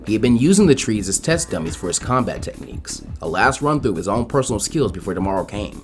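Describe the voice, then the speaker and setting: A man reading fan fiction as an expressive narrator. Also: mid-range voice. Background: faint music.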